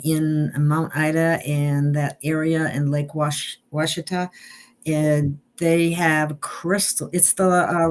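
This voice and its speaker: Woman guest, earnest and halting, casual chat. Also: sits low. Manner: earnest, halting, many restarts. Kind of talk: casual chat about spiritual sites.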